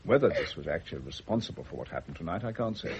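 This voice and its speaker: An actor in a radio drama playing a grave, world-weary family doctor, measured, formal and authoritative. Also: male voice, a bass voice, big pitch swings, heavily acted. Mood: stern. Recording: muffled, noisy old radio recording.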